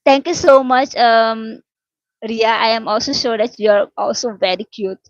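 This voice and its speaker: A woman in her twenties from Suriname, whose first language is Dutch, chatting casually with viewers, unhurried and with many fillers and restarts. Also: on the high side, steady tone, dry recording, muffled recording. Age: 20s. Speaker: livestream host answering viewer questions. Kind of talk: casual chat.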